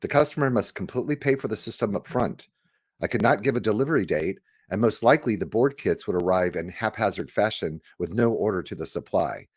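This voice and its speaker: Low-voiced man reading an audiobook. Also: expressive, fairly quick, darkish tone, husky, no echo, studio quality. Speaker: audiobook reader. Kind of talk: scripted audiobook narration.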